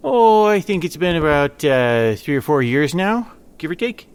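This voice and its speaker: A flustered ice fisherman played by a man in a comic audio drama, fairly high for a man and wildly animated in pitch. He stammers nervously and dodges with hesitant evasions. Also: unhurried, smooth. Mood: nervously evasive. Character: flustered, evasive male ice fisherman hiding his companion.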